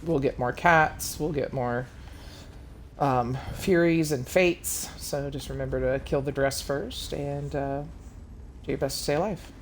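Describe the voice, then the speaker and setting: A man calmly explaining tactics step by step as he leads a group of players through a game on stream. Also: mid-range voice, a little slow, slight background noise. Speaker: raid leader.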